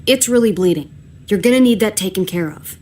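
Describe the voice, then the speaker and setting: A woman's voice: medium pitch, animated, fairly quick, a little mellow, a little hiss or hum, close to an everyday voice. An actress playing a wry, pragmatic woman survivor who takes charge in a video game drama. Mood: concerned, practical.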